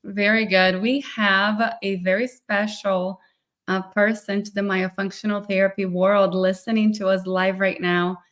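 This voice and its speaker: A woman's voice: medium pitch, flat delivery, a little slow, fairly bright tone. A woman hosting a live podcast interview, explaining her field fluently and upbeat. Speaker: podcast host, myofunctional therapist.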